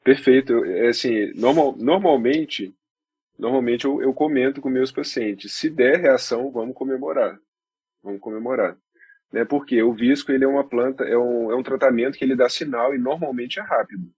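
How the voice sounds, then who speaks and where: A Brazilian man, a doctor guest on a podcast, explaining in a measured way and restarting phrases as he goes. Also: medium pitch, a little mellow, husky, dry recording. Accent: Brazilian Portuguese.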